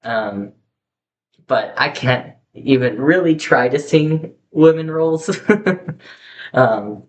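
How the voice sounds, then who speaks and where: A young man talking candidly to his camera in a casual vlog, rambling with lots of fillers and restarts on a low-quality microphone. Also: takes it slowly, pauses often, lo-fi sound. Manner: chatty, candid, rambling with many fillers and self-corrections. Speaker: vlogger. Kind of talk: casual personal talk to camera. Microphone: poor camera microphone. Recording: faint background hiss, low-quality mic.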